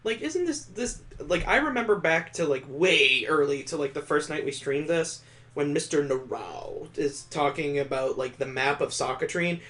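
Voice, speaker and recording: A man with a somewhat high voice reads a visual novel aloud as a streamer. His delivery moves between story narration and casual, conversational commentary. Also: expressive, normal speed, pushed and bright.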